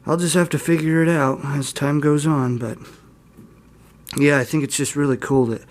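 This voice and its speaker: A man's voice: a little high, normal speed. A man talking casually and informally as he gives a hands-on demonstration, rambling a little and self-deprecating. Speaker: hobbyist video host. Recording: steady mains hum and room noise. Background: electrical hum and faint static.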